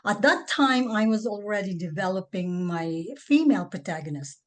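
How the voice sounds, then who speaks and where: Older-ish filipino woman, author chatting as a podcast guest, medium pitch. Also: lively intonation, normal speed. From Philippines. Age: middle-aged or older. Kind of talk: conversational interview answers.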